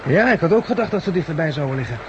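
A man's voice: a little high, animated, rapid-fire, dull audio, close to an everyday voice. An actor playing an earnest, hopeful, protective man in a 1960s Dutch radio play, on a noisy recording. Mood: determined.

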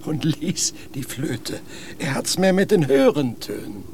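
A man's voice: mid-range voice, big pitch swings, slow pace, a little mellow, slight background noise. A man playing a teasing, jokey sailor in a radio drama. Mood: amused, teasing. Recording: faint background ambience.

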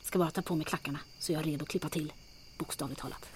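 A woman playing a bold, bossy adventurer in a comic audio drama, brisk and teasing in her delivery. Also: low-pitched, steady tone, noisy background, close to an everyday voice.

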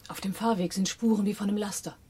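An actress in a German radio drama playing a sharp-tongued, teasing, practical female accomplice. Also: mid-range voice, fairly even intonation, quick pace, slight background noise, close to an everyday voice. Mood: matter-of-fact.

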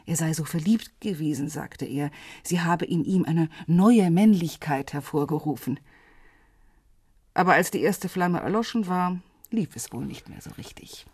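A middle-aged divorced woman in a radio drama. Her voice is fairly low and husky, her manner wry and guarded, with a bitter, sardonic edge. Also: animated, a little slow, darkish tone, no echo, full character voice.

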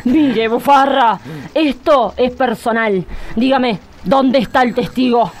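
Casting: actress as stern, indignant Argentine woman judge, high voice, emphatic and theatrical, radio comedy. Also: slow pace, slight background noise. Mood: indignant, demanding. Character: stern, indignant female judge, easily exasperated. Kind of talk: parody radio drama acting.

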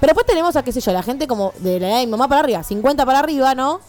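Animated, fast-talking Argentine woman, highish voice. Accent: Argentine Rioplatense. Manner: emphatic, animated storytelling. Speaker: radio show panelist. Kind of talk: casual comedic radio chat.

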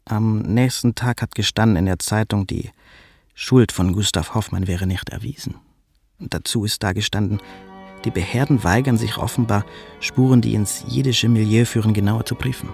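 Troubled butcher's son in radio drama, husky and creaky, halting odd word order, very dry close sound. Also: male voice, lowish voice, darkish tone, dry recording. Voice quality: gravelly, husky. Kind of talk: radio drama, confessional narration.

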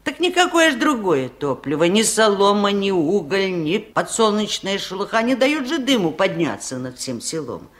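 A woman's voice: medium pitch, big pitch swings, moderate pace, few pauses, natural voice. An older woman playing a wise, lonely village aunt in a 1970s radio play, speaking in a folksy, musing way. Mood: matter-of-fact, plaintive.

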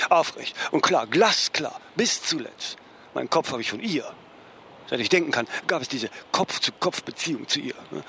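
An actor playing a vain, cantankerous celebrity philosopher in a radio play, imperious and full of reproach. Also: male voice, medium pitch, a bit bright, slight background noise. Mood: musing, proud. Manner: imperious, reproachful, verbal tags like "ne?". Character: vain, cantankerous celebrity philosopher, prickly about his mother. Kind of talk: German radio play dialogue.

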